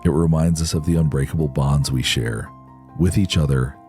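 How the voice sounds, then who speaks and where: A man's voice: very deep voice, normal speed, a little mellow, faint music behind. A man reading scripted true-crime narration as the show's host.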